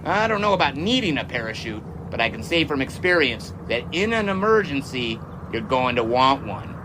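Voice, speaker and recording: An actor playing a confident, folksy 1920s American test pilot in an audio drama, jaunty and boastful, with old-fashioned wordy phrasing. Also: male voice, mid-range voice, big pitch swings, normal speed, background noise, close to an everyday voice. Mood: jaunty, teasing. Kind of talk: audio drama dialogue and first-person storytelling.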